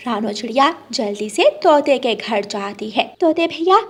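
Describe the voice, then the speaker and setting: High-voiced woman narrating a children's story. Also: normal speed.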